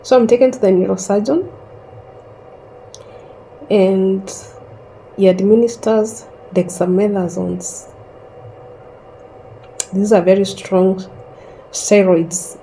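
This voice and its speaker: A woman in her thirties slowly telling a personal story in a calm, reflective way, pausing often. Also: medium pitch, creaky, a little hiss or hum, rough recording. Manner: slow and reflective, with long pauses. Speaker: host sharing a personal story.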